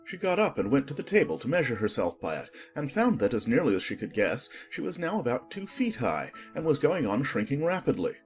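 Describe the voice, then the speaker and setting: An expressive narrator reading a children's storybook in a scripted, dramatized audiobook style. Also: mid-range voice, fairly quick, hardly any pauses, faint music behind.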